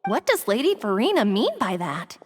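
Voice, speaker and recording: A woman's voice: medium pitch, big pitch swings, normal speed, in a large hall, slight background noise, natural voice. A woman playing a doubtful, indignant townswoman in a video game crowd scene. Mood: doubting. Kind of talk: English game voice acting.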